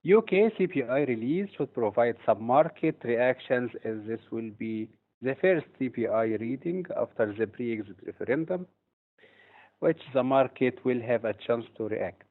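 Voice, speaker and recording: Man presenting over tinny phone-like audio. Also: medium pitch. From Egypt. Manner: steady, explanatory delivery with occasional hesitations. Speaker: trading instructor. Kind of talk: webinar presentation.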